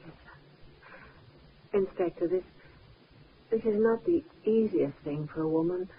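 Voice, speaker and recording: An actress plays a timid widow in an old radio drama. She speaks haltingly and apologetically in a lowish female voice. The recording is muffled and narrow, with faint hiss. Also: natural voice. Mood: subdued, uneasy. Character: timid, embarrassed widow, soft-spoken and hesitant. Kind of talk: 1950s radio drama acting.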